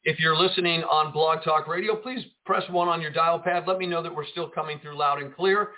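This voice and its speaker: A man, a pastor and radio host, preaching fervently and greeting listeners on a live broadcast, swinging between loud emphasis and quieter asides. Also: on the high side, fairly even intonation, fairly quick. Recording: tinny phone-line audio.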